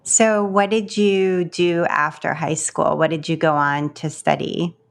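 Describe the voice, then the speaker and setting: A woman hosting a podcast, reading an introduction and asking the guest clear questions. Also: deep voice, fairly even intonation, a little slow. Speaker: podcast host. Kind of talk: scripted intro then interview questions.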